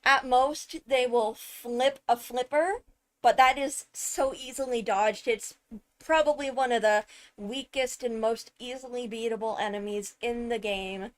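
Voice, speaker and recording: A woman streamer giving casual, emphatic analysis of a game video, halting as she thinks through points. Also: fairly high pitch, fairly even intonation. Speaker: streamer, host.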